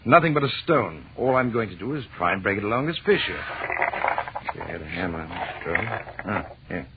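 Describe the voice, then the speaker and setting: An actor playing an impatient, excitable scientist in an old radio drama, speaking emphatically and dismissively. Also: male voice, fairly low pitch, a little fast. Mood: absorbed. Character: brusque, dismissive, excitable male scientist professor and husband. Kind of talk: 1940s radio acting. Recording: tinny, hissy old radio recording.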